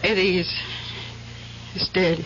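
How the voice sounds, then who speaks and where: An actress playing a devoted, worried wife in a 1970s radio drama, halting but determined. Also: female voice, on the high side, a bit bright, lightly acted. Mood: earnest, worried. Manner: hesitant, halting, earnest. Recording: dull, faintly noisy old radio broadcast.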